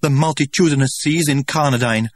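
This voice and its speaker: A man's voice: fairly high pitch, brisk, dry recording, voice pushed up, lightly acted. A man voicing an emotional young man raised on a reservation, who speaks in peculiar, old-fashioned English with a voice that trembles, in a storybook reading. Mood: anguished.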